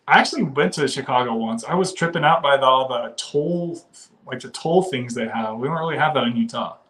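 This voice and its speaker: A man's voice: medium pitch, fairly bright tone, rough recording. A man from the western US hosting a casual livestream, chatting loosely, laughing often and reading viewer comments aloud. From western United States. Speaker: livestream host.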